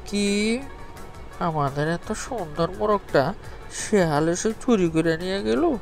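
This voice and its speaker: A man's voice: sits high, takes it slowly, pitched above the performer's own voice. An indignant male farmer acted out by a man in a cartoon fable, exclaiming in a raised, threatening voice. Music plays behind him. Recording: music under the voice.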